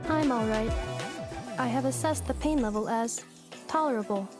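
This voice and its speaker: A woman voicing a polite, literal humanoid maid robot in a video game, with measured, detached, clipped phrasing. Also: a little high, over music, lightly acted.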